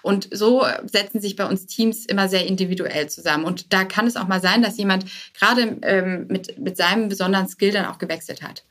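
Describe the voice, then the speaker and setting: A woman's voice: medium pitch, a little fast, few pauses, fairly bright tone. A woman speaking conversationally as a founder being interviewed on a podcast, dropping English business terms into her talk. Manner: mixes English business jargon into speech.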